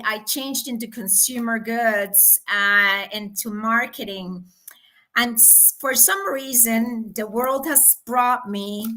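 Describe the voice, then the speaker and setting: A middle-aged woman from Colombia talks as a guest in a live-streamed interview. Her voice sits a little high and sounds bright and forward. She takes her time, pausing often with soft fillers as she gathers her thoughts. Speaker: guest consultant.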